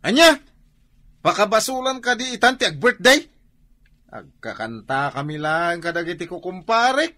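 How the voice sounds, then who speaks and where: A boisterous Ilocano party-loving neighbour. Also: male voice, high voice, big pitch swings, moderate pace, full character voice. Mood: indignant. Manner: loud, exclaiming, argumentative. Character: boisterous, unrepentant neighbour man who loves late-night drinking and singing. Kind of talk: Ilocano radio comedy drama acting.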